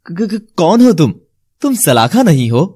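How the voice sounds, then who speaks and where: A man playing a lovestruck, puzzled young bridegroom, earnest and dramatic, in an animated fairy tale. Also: fairly high pitch, unhurried, breathy edge, heavily acted. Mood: suspicious.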